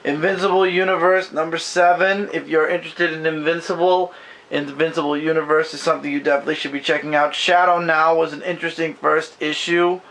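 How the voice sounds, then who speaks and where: A man losing his voice and sounding hoarse, chatting loosely about comics from behind the camera in a bedroom. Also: a little high, level tone, a little fast, a bit bright, low-quality recording. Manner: rambling, loose and self-correcting. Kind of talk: casual comic book review chat. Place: bedroom at home. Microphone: camera mic, speaking from behind the camera.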